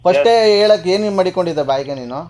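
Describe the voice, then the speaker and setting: A man's voice: sits high, expressive, fast, darkish tone. A man hosting a radio prank call, teasing and needling with mock-stern questions in phone banter. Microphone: phone call recorded in a radio show.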